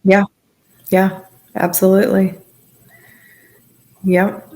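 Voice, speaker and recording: A woman speaking as an interview guest, giving casual personal testimony in halting, trailing phrases. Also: mid-range voice, completely flat delivery, warm tone, poor audio. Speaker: podcast guest sharing testimony.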